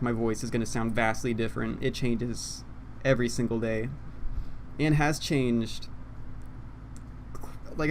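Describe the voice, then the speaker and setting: Young man, mid-pitched voice, meandering casual chat, self-deprecating, condenser mic, faint background hiss. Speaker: YouTuber. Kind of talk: unscripted rambling monologue. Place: home room, seated at computer.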